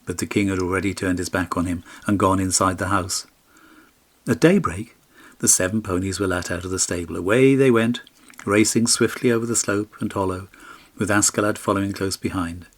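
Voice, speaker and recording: A man's voice: low-pitched, fairly even intonation, brisk. A man narrating a fairy tale as an audiobook, reading expressively from a script.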